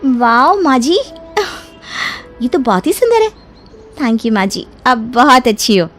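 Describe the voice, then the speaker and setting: A woman's voice: fairly high pitch, sing-song, measured pace, a bit bright, soft background music. A woman playing a polite, inquisitive young daughter-in-law in an acted moral story, with an eager, respectful manner. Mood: excited, curious.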